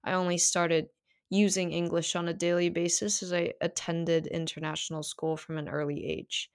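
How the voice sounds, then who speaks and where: A woman's voice: lowish voice, little pitch movement, normal speed, vocal fry. Teenage woman hosting a podcast. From South Korea. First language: Korean. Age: teens. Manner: chatty, many fillers. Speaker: podcast host. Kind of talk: casual solo podcast monologue.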